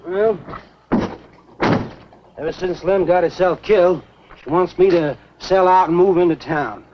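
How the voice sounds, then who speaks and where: An actor playing a meek, henpecked middle-aged storekeeper with a folksy Southern American accent in a 1950s B-movie. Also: male voice, high-pitched, unhurried. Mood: resigned. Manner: folksy and placating. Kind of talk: 1950s B-movie film acting. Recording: noisy, dull 1950s film soundtrack.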